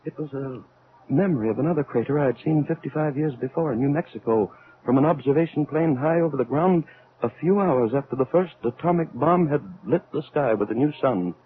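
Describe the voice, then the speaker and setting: An actor in an old-time radio drama playing a troubled elderly scientist, speaking in a halting, brooding, theatrical way. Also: male voice, fairly high pitch, phone-quality sound, lightly acted. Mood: brooding, intense. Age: older. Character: grave, troubled elderly scientist aboard a spaceship. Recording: band-limited vintage radio recording.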